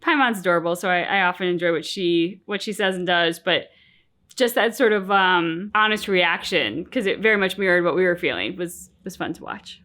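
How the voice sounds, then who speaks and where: A woman's voice: lowish voice, moderate pace, sharp tone. A woman co-hosting a game reaction, talking informally and analytically as she thinks through her impressions. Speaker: reaction channel host. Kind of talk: casual commentary chat on a video game.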